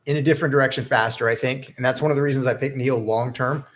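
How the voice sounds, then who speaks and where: A man's voice: medium pitch, fairly even intonation, quick pace. A man casually hosting a live investing chat, rambling and hesitant, with an upbeat tone. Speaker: stock investing livestream host.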